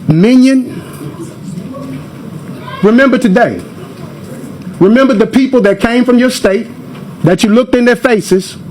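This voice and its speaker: American guy around forty, high voice, fiery public comment at a hearing, repeats phrases for punch, bit of background noise. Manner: emphatic, oratorical, confrontational, with repeated phrases. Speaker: member of the public giving testimony. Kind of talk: impassioned public comment to a committee. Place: government committee hearing room. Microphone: hearing microphone.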